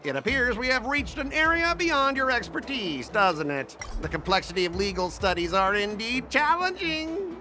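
A man's voice: extremely high pitch, very animated, normal speed, hardly any pauses. A man voicing a smug, pedantic corporate fleet officer in a video game, pompous and condescending. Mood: smug, officious. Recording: music under the voice.

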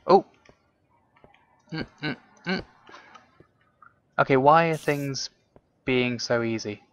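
A man's voice: mid-range voice, takes it slowly, a bit bright, clean tone, muffled recording. A young man giving casual, rambling commentary while he plays a video game, talking to himself and to his viewers. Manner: chatty, rambling, frequent ums and self-talk. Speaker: gaming commentator. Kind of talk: casual let's play commentary.

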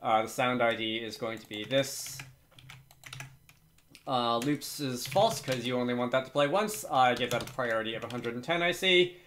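A man with a mid-pitched voice hosts a screen-recorded programming tutorial. He talks informally and somewhat hesitantly, thinking aloud as he works. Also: normal speed, fairly bright tone, slight background noise. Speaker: tutorial host. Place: indoors at a computer, screen recording with typing.

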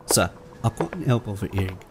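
An actor playing a meek, kindly, poor clerk in an audio drama, humble and deferential in manner. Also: male voice, lowish voice, animated, brisk, noisy background, lightly acted. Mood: humble, eager.

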